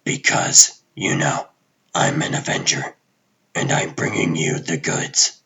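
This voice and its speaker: A man voicing a gruff, calm and wry vigilante superhero in a comic book dub, with a husky, hushed rasp and terse delivery. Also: rumbling low, lo-fi sound, full character voice. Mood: insistent. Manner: terse, dry, deliberate.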